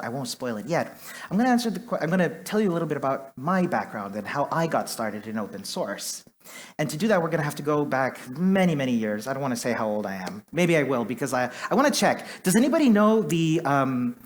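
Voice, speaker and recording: Chatty man giving a conference talk. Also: on the high side. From Chile. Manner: chatty, self-deprecating, many fillers. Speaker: conference speaker. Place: conference hall on stage.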